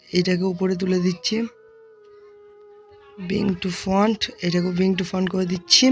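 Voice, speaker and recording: A tutorial host narrating software steps one by one, speaking informally to viewers as friends. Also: mid-range voice.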